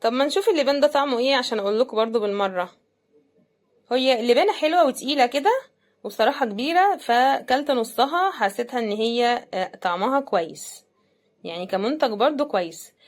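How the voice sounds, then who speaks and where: Casting: Egyptian woman, fairly high voice, conversational unboxing host with a casual, thinking-aloud delivery. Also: lively intonation, fast talker. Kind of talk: casual running commentary while unboxing.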